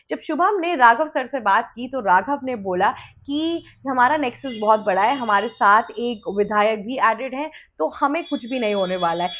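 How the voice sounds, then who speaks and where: A woman's voice: a little high, a bit bright, dull audio. An Indian woman explaining news as a presenter, conversational and emphatic, mixing in English words.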